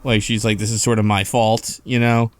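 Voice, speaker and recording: A man's voice: on the low side, level tone, moderate pace. Hesitant man chatting casually. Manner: rambling, hesitant, lots of fillers. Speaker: podcast host. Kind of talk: casual group discussion of a visual novel.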